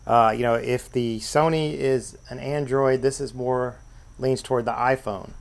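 A man's voice: mid-range voice, fairly even intonation, unhurried, creaky. A man casually showing and explaining an old broken tape deck at home, rambling with lots of fillers. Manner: rambling, easygoing, many fillers. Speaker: vintage tape deck repair vlogger. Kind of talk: casual show-and-tell narration. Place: sunny room at home, at a desk.

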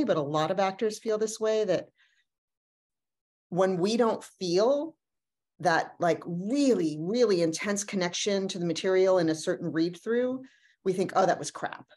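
A woman's voice: medium pitch, lively intonation, normal speed, a little mellow. A woman speaking as an actor in a casual panel discussion on a video call, conversational and emphatic. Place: indoors at home on a video call. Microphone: video call microphone.

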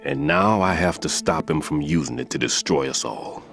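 A grave older bomb-disposal veteran for a video game. His voice is extremely deep, and he speaks in a measured, brooding, regretful way. Music plays behind him. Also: huge pitch swings, creaky, heavily acted. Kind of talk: dramatic video game acting. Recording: background music under the voice.

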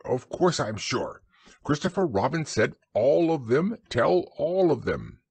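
A man doing a fussy high-voiced owl. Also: very animated, a little slow, vocal fry, a little mellow, breathy edge, no echo, exaggerated voice. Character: fussy, self-important male owl.

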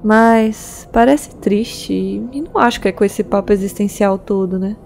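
A woman's voice: medium pitch, moderate pace, vocal fry, darkish tone, soft background music, natural voice. An actress playing a caring, anxious young pregnant woman who stammers and hesitates in an audio drama.

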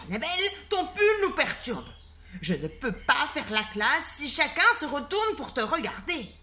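A woman shouting as an exasperated male schoolteacher. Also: high voice, big pitch swings, unhurried, pitched above the performer's own voice, full character voice. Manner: shouting, scolding.

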